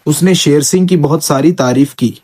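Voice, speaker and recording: A man's voice: on the high side, moderate pace, a little mellow. A man narrating an animal story for children as its storyteller.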